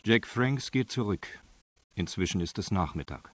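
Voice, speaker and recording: A man reading scripted narration for a radio drama in a factual, measured way. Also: lowish voice, lively intonation, slight background noise.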